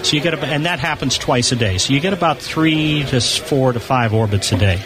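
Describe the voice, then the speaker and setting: A man's voice: medium pitch, fairly quick. A man being interviewed as a guest on a live show, explaining technical things informally from a convention floor booth. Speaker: amateur satellite enthusiast, show guest. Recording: busy crowd noise, live radio broadcast. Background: crowd chatter.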